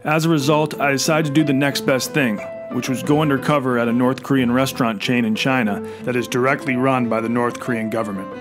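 A man's voice: medium pitch, steady tone, brisk. A man narrating his vlog in a scripted voice-over, wry and sarcastic. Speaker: vlogger, host. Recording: voice-over with loud music behind.

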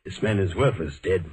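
Portly villain boss, vintage radio thriller. Pompous, courtly, threatening, on the low side. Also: male voice, a little mellow. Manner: pompous, florid, throat-clearing. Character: fat, courtly villain boss, coldly menacing. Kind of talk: old-time radio crime drama acting. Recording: noisy old radio recording.

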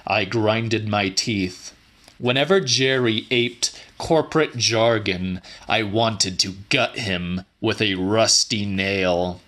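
A male narrator reads weird fiction as a dramatic audiobook. His voice is fairly low yet forceful and bright, and his delivery is very slow and deliberate.

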